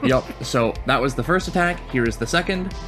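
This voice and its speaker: A man playing a tabletop game, improvising his character's action in slangy, halting speech with swearing. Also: mid-range voice, animated, a bit bright, faint music behind. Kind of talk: casual, improvised action narration.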